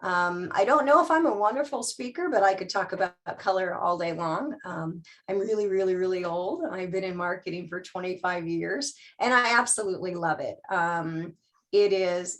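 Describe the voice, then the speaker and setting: A woman's voice: fairly low pitch, a bit bright. A middle-aged woman from California, a guest speaker talking casually, then presenting over a video call, with lots of fillers and restarts. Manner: chatty, self-deprecating, many fillers and restarts.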